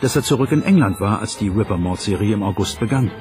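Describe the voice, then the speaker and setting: A man's voice: on the low side, animated, fast, music in the background, close to an everyday voice. A man speaking scripted documentary voice-over as the translated voice of an investigator.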